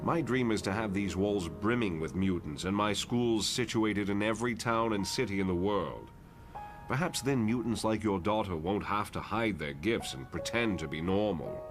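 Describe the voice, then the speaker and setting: An actor playing a composed, idealistic professor who lectures in a formal, measured way in a motion comic. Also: male voice, lowish voice, natural voice. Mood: measured, persuasive. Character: composed, idealistic male professor leading a mutant school. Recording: loud music behind.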